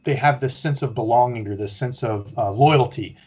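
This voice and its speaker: Laid-back husky-voiced male guest. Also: medium pitch, moderate pace, darkish tone, dull audio. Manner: laid-back, rambling, lots of "you know" and "like". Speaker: guest, fishing YouTuber. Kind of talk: casual interview chat.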